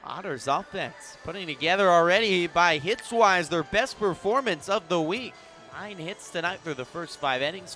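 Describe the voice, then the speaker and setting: A man's voice: a little high, bright, forceful tone. A man doing live radio play-by-play commentary of a baseball game as the announcer. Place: broadcast position at an outdoor ballpark in the evening.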